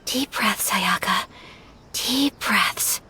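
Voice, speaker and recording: A woman playing a gentle, dutiful young noblewoman in a video game, speaking softly, formally and earnestly. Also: mid-range voice, whispered, full character voice. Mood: shy, nervous. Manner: polite, formal, measured, soft-spoken. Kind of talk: scripted video game character dialogue. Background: background music.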